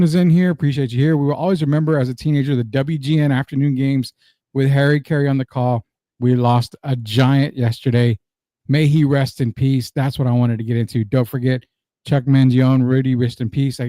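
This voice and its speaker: A middle-aged man hosts a live sports stream with a mellow, relaxed tone. He talks in a loose, slangy, conversational way, recorded close and dry. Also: medium pitch, dry recording. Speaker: sports show host.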